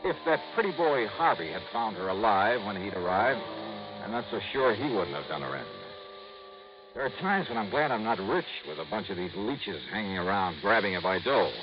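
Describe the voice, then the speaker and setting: An actor playing a wry, probing hardboiled insurance investigator in a 1950s radio drama, questioning in a clipped, needling way. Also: male voice, on the low side, very animated, moderate pace. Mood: wry narration. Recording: muffled, noisy vintage 1950s radio recording.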